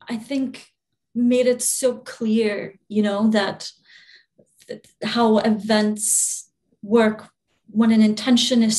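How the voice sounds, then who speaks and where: Soft-spoken young woman, laughing guest. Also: fairly high pitch. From Albania. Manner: soft-spoken, laughs often, many fillers and restarts. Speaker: channeler, interview guest. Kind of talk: relaxed conversational interview.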